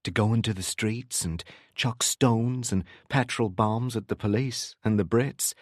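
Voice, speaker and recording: A man's voice: on the low side, measured pace, dry recording, studio quality. A man voicing a guarded, secretive ex-militant with a Northern Irish accent in a narrated novel. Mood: guarded, confessional. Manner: evasive, feigned casualness.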